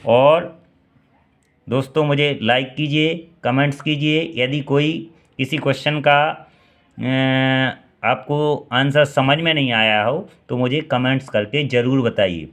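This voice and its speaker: A man's voice: medium pitch, steady tone, takes it slowly, fairly bright tone. A man in his thirties from India teaching an exam-prep maths lesson, quizzing the listener and answering himself, repeating key steps. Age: 30s.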